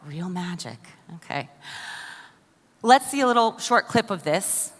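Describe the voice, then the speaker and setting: A woman's voice: on the low side, very animated, unhurried. American woman speaking in a big hall. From United States. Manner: enthusiastic, addresses the audience directly with questions. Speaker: conference speaker. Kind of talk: keynote presentation. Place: large conference hall. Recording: long hall reverb.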